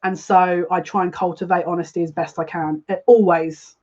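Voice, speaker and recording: A woman's voice: lowish voice, fairly even intonation, normal speed, darkish tone, dull audio. A woman hosting a live spiritual reading, speaking earnestly and confidingly, often repeating her phrases. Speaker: psychic livestream host.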